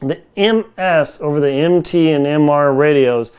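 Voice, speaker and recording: A man in his forties with a fairly high, very clean and smooth voice talks straight to camera as a reviewer. His delivery is informal and slangy, like casual conversation. He is recorded on a lapel mic indoors in a home kitchen. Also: unhurried, a little mellow. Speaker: product reviewer.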